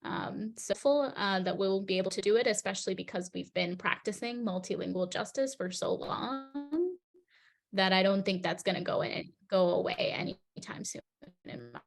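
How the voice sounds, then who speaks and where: A woman's voice: mid-range voice, moderate pace, vocal fry. Woman facilitator, searching for words. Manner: thoughtful, searching for words, affirming. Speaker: meeting facilitator. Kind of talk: facilitating a group discussion.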